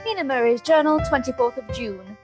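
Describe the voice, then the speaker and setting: A woman's voice: high-pitched, lively intonation, fairly quick, a little mellow, faint music behind. An actress playing a sensible, dry-witted and studious young Victorian woman in a radio drama, prim and wry. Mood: composed.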